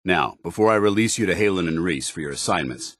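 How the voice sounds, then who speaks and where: An American man voicing a stern, earnest, duty-bound military commander in a video game, speaking in formal, measured phrases. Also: deep voice, animated, fairly bright tone, no echo, clean studio sound. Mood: firm, proud. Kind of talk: video game companion dialogue.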